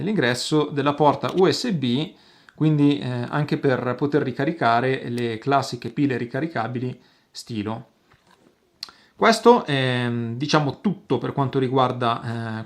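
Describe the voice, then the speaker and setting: A man hosting an outdoor gear review, explaining casually to viewers with halting pauses and repeated words. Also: medium pitch. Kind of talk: casual product demonstration to viewers.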